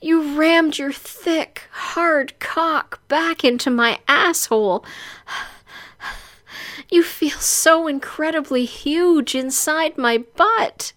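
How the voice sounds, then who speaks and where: A woman's voice: sits high, takes it slowly, fairly bright tone, voice pushed up. A woman voicing an adult comic-book superheroine in an erotic audio story, overwrought, with gasped, moaning exclamations. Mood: pained, protesting.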